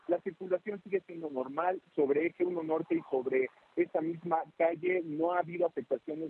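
A man's voice: fairly high pitch, gravelly, breathy edge. A man with a Mexican accent giving a live, unscripted field news report from a city street over a phone link. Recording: tinny, band-limited phone link.

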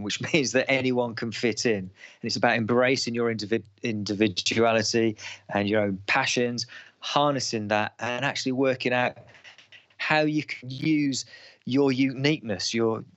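A man's voice: medium pitch, fairly bright tone, dry recording. A man in his forties, a podcast guest who talks about his schools programme in an enthusiastic, motivational way, with hesitations and restarts. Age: 40s. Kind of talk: casual podcast interview.

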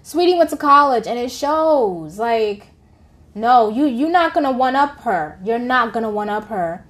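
A woman co-hosting a podcast, chatting casually and making her points with animated, repeated emphasis. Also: on the high side, measured pace. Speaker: podcast co-host. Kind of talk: casual chat.

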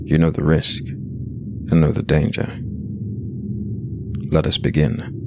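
Extremely deep, ominous horror storyteller. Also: male voice, completely flat delivery, noisy background, heavily acted. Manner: slow, ominous, theatrical. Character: ominous deep-voiced male horror storyteller, slow and menacing. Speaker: show announcer. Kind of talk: dark fantasy audio drama introduction.

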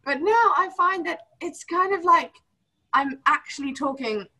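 Giggly young woman, high voice. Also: a little slow, pushed and bright. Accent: mix of British and South African. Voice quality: giggly, laughs often, many fillers. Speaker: former homeschool student. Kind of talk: casual interview over video call. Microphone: video call.

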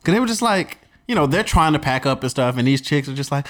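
A man's voice: fairly high pitch, expressive, quick pace, fairly bright tone. A man chatting casually in a podcast group, teasing and joking in loose, profane slang. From Haitian background. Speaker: podcast host.

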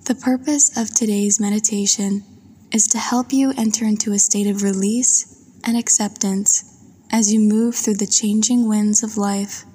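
A woman narrating a guided meditation in a soft, breathy voice, with a soothing, gentle and unhurried manner. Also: mid-range voice, fairly even intonation. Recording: long washy reverb.

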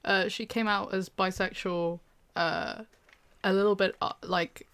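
A woman's voice: medium pitch, fairly even intonation, unhurried, vocal fry, a bit bright, clean tone. A young British woman chatting casually with a co-host, hesitant, with many fillers and restarts. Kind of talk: casual chat.

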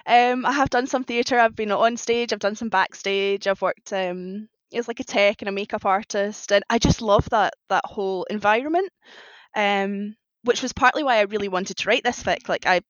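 A woman's voice: mid-range voice, normal speed, no room sound, highs cut off. A woman chatting casually and enthusiastically as a podcast guest. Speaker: podcast guest, fan fiction writer. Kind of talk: casual chat.

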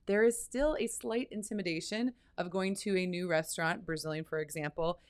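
Woman, university center director, enthusiastic teacherly guest, slightly low voice. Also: fairly even intonation, a little fast, smooth. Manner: enthusiastic, chatty, teacherly explaining. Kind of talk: conversational interview.

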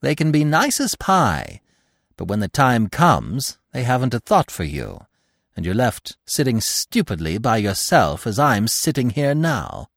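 A man's voice: medium pitch, sing-song, measured pace, vocal fry, no echo, clean studio sound, voice pushed up, full character voice. A man voicing a lonely, bitter owl who broods and sulks. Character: grumbling lonely owl, sulky and self-pitying.

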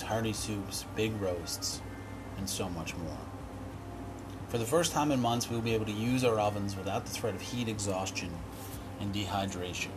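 A man hosting a short cooking podcast, slowly reading a wistful written piece in a hoarse voice, then speaking more casually. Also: on the low side, background noise. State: hoarse from illness. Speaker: podcast host, chef.

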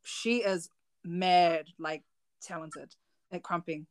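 A woman's voice: on the low side, steady tone, takes it slowly. A woman with a New Zealand accent hosting a podcast in a casual, slangy, informal chat. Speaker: podcast host.

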